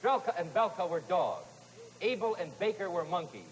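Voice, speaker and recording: A man's voice: high voice, very animated, normal speed. An American man moderating an old broadcast quiz, announcing teams and reading questions. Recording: archival broadcast, hall reverb, faint music.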